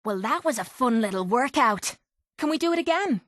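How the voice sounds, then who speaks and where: A woman's voice: fairly high pitch, animated, normal speed, a bit bright, dry recording. An actress playing a tough, sardonic young Irish woman, a blunt and slangy wasteland fighter in a video game. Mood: wry, streetwise. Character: tough, foul-mouthed, sardonic young female wasteland brawler companion. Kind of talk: video game character dialogue.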